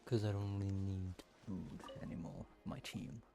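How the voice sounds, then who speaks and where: A man's voice: deep voice, level tone, normal speed, warm tone. A young man giving casual, offhand gameplay commentary, muttering to himself as he plays. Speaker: game streamer.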